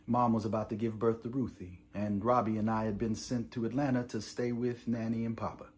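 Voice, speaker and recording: A male actor playing a weary, defiant imprisoned revolutionary in a theatrical monologue, reading a letter to his parents with deliberate, oratorical delivery. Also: lowish voice, flat delivery, natural voice. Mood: sorrowful, reflective. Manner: deliberate oratorical delivery swinging from hushed to impassioned. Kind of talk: dramatic theatrical monologue, letter read aloud.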